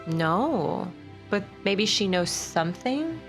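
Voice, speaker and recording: A woman's voice: mid-range voice, sing-song, takes it slowly, music in the background. A woman chatting casually and playfully as a player in a tabletop role-playing game. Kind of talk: casual playful group banter during a D&D game.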